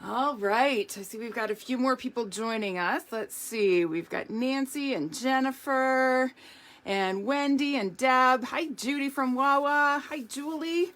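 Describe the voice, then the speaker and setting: A hoarse woman hosting a live craft demonstration, chatty and upbeat, greeting viewers by name as she works. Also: sits high, measured pace, a bit bright. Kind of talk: live craft demo chat.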